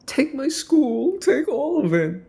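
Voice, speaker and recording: A man's voice: very high voice, sing-song, takes it slowly, vocal fry, dark tone, voice pushed up, full character voice. A man voicing a pathetic male school owner begging the mafia, whining and desperate, in an ASMR roleplay. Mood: desperate pleading.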